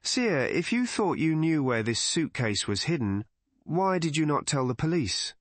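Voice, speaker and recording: A man's voice: on the high side, very animated, measured pace, dry recording, voice pushed up. A man playing a brusque, bad-tempered police inspector in an audiobook mystery. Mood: irritated. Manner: brusque, declarative.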